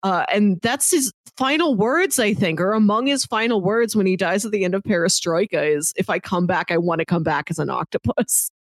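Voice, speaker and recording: A woman's voice: mid-range voice, a little fast, hardly any pauses, no room sound. A woman co-hosting a podcast, casually discussing film and theater and acting out quotes as she retells scenes. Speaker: podcast co-host.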